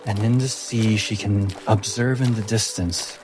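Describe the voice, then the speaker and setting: A man's voice: fairly low pitch, fairly even intonation, a little slow, music in the background. A man narrating a fantasy tabletop game as game master in a dramatic storytelling style.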